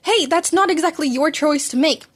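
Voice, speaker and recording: Woman voicing a high, flustered, earnest teenage boy. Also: moderate pace, close to an everyday voice. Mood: exasperated. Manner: hesitant rambling with sarcastic retorts. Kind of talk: fan fiction audiobook character voice.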